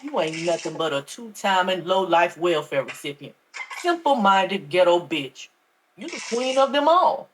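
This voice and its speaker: A woman putting on a smug, low-voiced male pastor. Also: very animated, a little hiss or hum. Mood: contemptuous. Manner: slow, low, condescending. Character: smug scheming male pastor, middle-aged.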